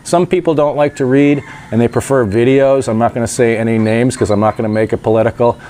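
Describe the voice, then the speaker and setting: A man's voice: mid-range voice, steady tone, quick pace, warm tone, a little hiss or hum. Stuffy-nosed man chatting to camera. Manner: chatty, wry asides. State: stuffed-up nose, a little nasal. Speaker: vlogger. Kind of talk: casual vlog talk to camera. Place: outdoors in sunshine by a gate.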